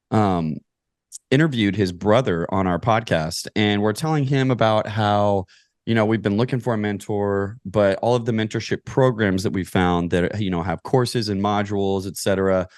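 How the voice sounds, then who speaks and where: A man's voice: lowish voice, no room sound, studio quality. An American man from Kentucky answering interview questions as a podcast guest, explaining things methodically in a conversational way. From Kentucky, United States. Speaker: podcast guest, real estate investor.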